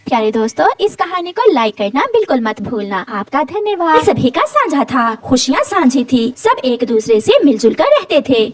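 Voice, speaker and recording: Female storyteller for children's cartoon tales, high voice, very animated delivery. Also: no room sound. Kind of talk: scripted cartoon story narration.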